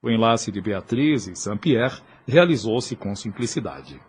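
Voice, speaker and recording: A man's voice: on the low side, expressive, a little slow, a little hiss or hum. A man with a Brazilian accent reading scripted, dramatic narration for an audio novel.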